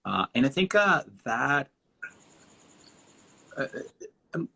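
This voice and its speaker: A man's voice: mid-range voice, very animated, takes it slowly, gravelly, bright, forceful tone. A Mexican man, a native Spanish speaker with a Mexican accent, answering interview questions in a reflective, rambling way with many restarts. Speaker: guest designer. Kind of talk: live-streamed interview answers.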